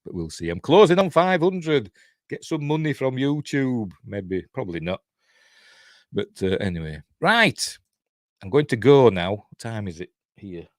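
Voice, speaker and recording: A man's voice: mid-range voice, sing-song, measured pace, dry recording, studio quality. A middle-aged man with a Yorkshire accent, hosting a casual livestream in a rambling, chatty way with self-deprecating asides. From Yorkshire, England. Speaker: channel host reviewing TV.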